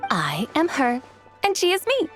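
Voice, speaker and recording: Serene, slow-spoken immortal woman. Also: high voice, huge pitch swings, full character voice. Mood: composed. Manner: measured, formal, courteous. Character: serene, refined female immortal adeptus, curious about ordinary mortals. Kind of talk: video game character acting. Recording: music under the voice. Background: game music.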